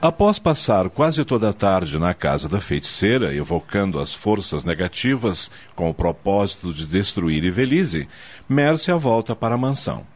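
Low-voiced, dramatic male narrator. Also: very animated, moderate pace. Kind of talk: scripted dramatic radio soap narration. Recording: dull noisy audio with ambience.

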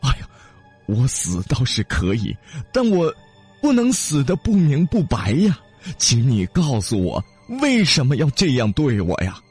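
A man's voice: on the high side, very animated, measured pace, a little mellow, husky, soft background music, voice pushed up, exaggerated voice. A man voicing a frightened old fisherman in a storybook tale, begging a demon in a raised, flustered voice. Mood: pleading. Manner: flustered, pleading.

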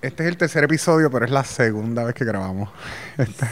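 A man's voice: mid-range voice, animated, brisk, big-room sound. A man hosting a casual interview, chatty and laughing often, mixing in English words. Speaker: podcast host.

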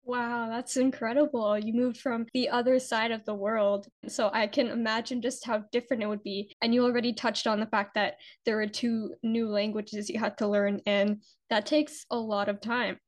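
A woman's voice: a little high, little pitch movement, moderate pace, hardly any pauses. A young woman, speaking casually as a podcast co-host.

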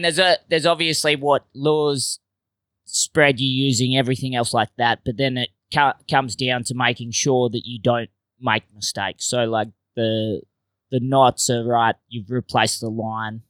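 A man's voice: medium pitch, steady tone, a little slow, a bit bright, clean tone, dry recording. A man, a comedian telling stories in casual chat, swearing and laughing as he goes. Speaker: podcast guest comedian.

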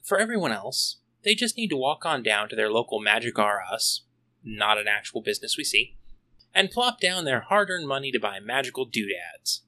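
A man presents a scripted solo review as a podcast host. His voice is bright and punchy, with lively swings in pitch. He drops in wry, tongue-in-cheek asides as he goes. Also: normal speed.

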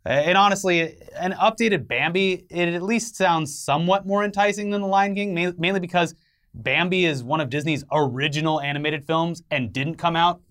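A man's voice: a little high, normal speed, a bit bright. A man co-hosting an entertainment news show with casual, sarcastic, irreverent commentary and some swearing.

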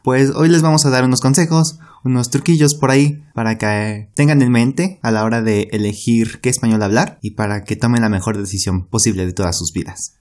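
A man's voice: medium pitch, steady tone, a little fast, few pauses, darkish tone. A Mexican man who teaches Spanish, co-hosting a casual podcast in a chatty way and repeating words for emphasis.

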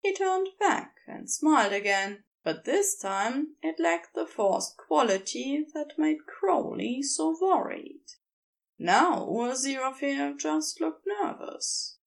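High-voiced woman narrating fiction, slow and expressive. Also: gravelly. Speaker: audiobook narrator.

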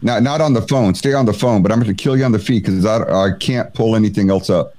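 Brief: male stream host, slightly low voice, loose and chatty delivery, casual home setup. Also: quick pace, mellow. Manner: rambling and chatty, trails off. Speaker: livestream host. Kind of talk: casual livestream chat.

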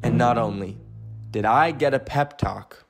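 A man's voice: mid-range voice, unhurried, creaky. A young man narrating a casual comedic vlog as a YouTube host. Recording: loud music behind the voice. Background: music.

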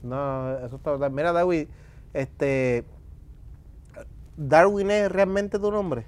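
A man's voice: on the high side, takes it slowly, a little mellow. A man with a Puerto Rican accent, hosting an interview in casual, slangy conversation. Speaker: interview host.

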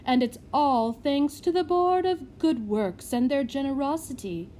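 A woman voicing a fawning, gushing matron who flatters a visiting gentleman. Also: sits high, unhurried, slight background noise, pitched above the performer's own voice. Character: fawning, gushing middle-aged woman running a house for children.

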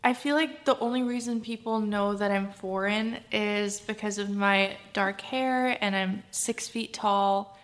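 A woman's voice: mid-range voice, steady tone, unhurried. Upbeat woman host with a mid-range voice. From Ukraine. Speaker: podcast host. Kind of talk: casual chat.

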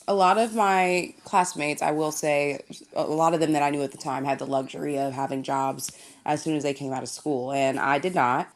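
A young woman from Indiana with a low voice and a slight rasp answers interview questions. She tells her story with an easy, storytelling flow, often breaking into laughs. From Indiana, USA. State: slightly raspy voice. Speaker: TV news anchor, guest.

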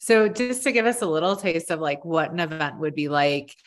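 A woman interviewer with a notably low voice asks friendly, curious questions. Her delivery is casual and conversational. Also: steady tone, fairly quick. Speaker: interviewer, host.

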